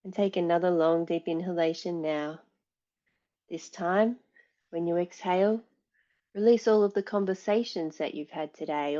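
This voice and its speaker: A woman teaching yoga nidra, guiding relaxation in a calm, soothing, measured way with long pauses. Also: on the low side, muffled recording. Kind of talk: guided relaxation, meditation instruction.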